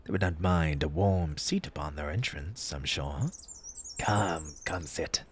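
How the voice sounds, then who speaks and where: A man playing an eccentric, fussy tea-party host in an audio roleplay, pompous and formal in old-fashioned diction, with a breathy, husky voice and an undertone of grief. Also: a bass voice, a little slow, a little hiss or hum. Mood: apologetic, subdued. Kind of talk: theatrical character audio roleplay.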